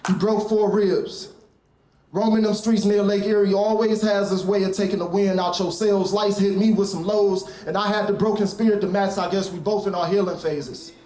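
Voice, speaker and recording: A man's voice: high-pitched, steady tone, normal speed, mellow, a little hiss or hum. A man reciting reflective, confessional spoken word poetry as a poet at a live event. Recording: echoey live room.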